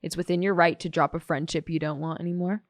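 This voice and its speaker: A woman's voice: fairly low pitch, fairly even intonation, quick pace, dry recording, studio quality, lightly acted. A woman acting a blunt, dismissive, jaded teenage girl testifying in an audio drama. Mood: exasperated. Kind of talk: audio drama monologue, police interview testimony.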